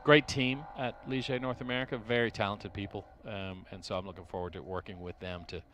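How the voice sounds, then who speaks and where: A middle-aged man from Dublin with an Irish accent, talking as an interview guest at a race track, pausing often and restarting his sentences. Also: fairly low pitch, steady tone, fairly bright tone. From Ireland. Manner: hesitant, many restarts and fillers. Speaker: interview guest, racing team executive. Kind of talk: casual interview. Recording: faint noise at a race track.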